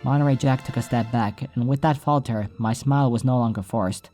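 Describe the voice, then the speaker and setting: A man's voice: medium pitch, brisk, mellow, faint music behind. A man reading scripted first-person story narration as an audiobook narrator.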